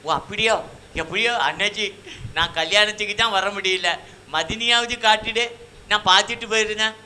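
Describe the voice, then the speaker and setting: A man's voice: sits high, steady tone, moderate pace, pushed and bright. A man voicing a nosy, teasing village man in comic Tamil puppet banter, talking colloquially in rural dialect.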